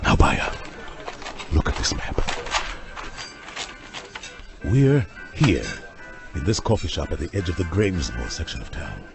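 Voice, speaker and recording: Obsessed vampire hunter, deep gravelly voice, wildly swinging pitch, melodramatic urgency, music underneath. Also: male voice, huge pitch swings, measured pace, pauses often, heavily acted. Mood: intent, explaining. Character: obsessed intense male vampire hunter doctor. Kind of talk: audio drama acting.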